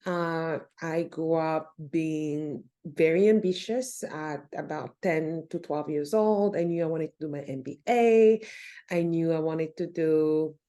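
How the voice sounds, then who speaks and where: A woman from Cameroon, a guest on a podcast, telling her life story in a relaxed, reflective way with fillers and restarts. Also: sits low, slow pace. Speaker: podcast guest, nonprofit founder. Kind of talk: casual interview conversation.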